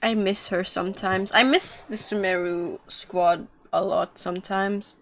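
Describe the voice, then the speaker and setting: A woman's voice: medium pitch, fairly even intonation, measured pace. A woman livestreaming a game, chatting informally in a rambling way. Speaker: game streamer. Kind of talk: casual livestream commentary. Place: livestream with game music behind.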